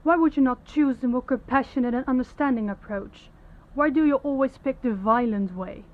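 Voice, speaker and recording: A woman's voice: sits high, phone-quality sound, natural voice. A woman playing a young princess, stern, principled and formal, in an audio roleplay. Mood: indignant, scornful. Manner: formal, commanding, measured diction. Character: young princess, stern, authoritative, compassionate, defiant.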